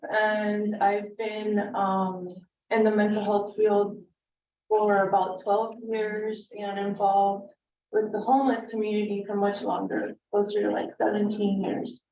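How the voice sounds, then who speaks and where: A woman's voice: mid-range voice, a little hiss or hum. A Cuban-born woman whose first language is Spanish, introducing herself casually at a public board meeting, with many fillers. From Cuba. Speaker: board member candidate. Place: meeting room. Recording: echoey room, distant mic, dull sound.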